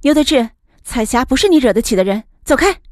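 Woman voicing an indignant, high-pitched young student. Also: expressive, fairly quick, no room sound, clean studio sound. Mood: warning. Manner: forceful, accusing. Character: indignant, loud young student standing up to bully. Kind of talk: audiobook character dialogue.

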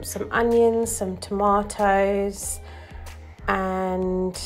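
A woman's voice: mid-range voice, creaky. A woman giving a casual, chatty voice-over for her vlog, with frequent ums. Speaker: vlogger. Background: faint background music.

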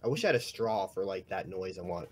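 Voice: low voice, animated, fast talker, darkish tone. A comic dub cast member chatting casually and jokingly during a live reading.

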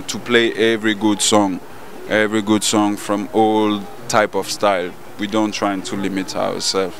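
A man in his thirties from Ivory Coast with a French-speaking West African accent, answering interview questions casually on a rooftop, searching for words with many pauses and repeats. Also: lowish voice. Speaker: DJ and event organizer. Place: outdoor rooftop terrace above a busy street. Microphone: handheld interview mic held by the interviewer. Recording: faint traffic noise outdoors.

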